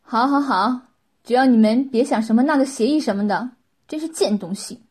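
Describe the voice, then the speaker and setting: A woman voicing an imperious, impatient young woman who gives loud orders in an audiobook story. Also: on the high side, normal speed, breathy edge. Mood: exasperated. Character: imperious young woman, scolding master of servile followers.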